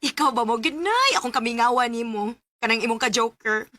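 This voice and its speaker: Heartbroken young Cebuano woman, high-voiced. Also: fairly quick, sharp tone, no room sound. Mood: friendly teasing. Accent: Cebuano Filipino. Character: young heartbroken provincial woman, wary of love, telling her story. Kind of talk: Cebuano radio drama acting. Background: music.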